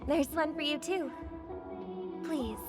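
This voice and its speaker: An actress voicing a gracious young idol in a video game, speaking politely with composed, encouraging reassurance. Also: female voice, sits high, slow pace, music in the background. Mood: gracious, reassuring. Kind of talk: scripted video game dialogue.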